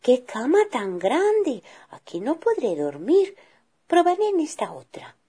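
A woman's voice: sits high, huge pitch swings, measured pace, warm tone, husky, voice pushed up, exaggerated voice. A woman voicing a lost little blonde girl who chirps with wide-eyed wonder. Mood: delighted.

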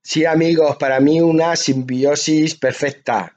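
A man hosting a radio poetry programme, presenting in measured, halting phrases. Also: fairly high pitch, steady tone, a little mellow, soft background music. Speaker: radio poetry show host. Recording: slightly dull, lo-fi sound.

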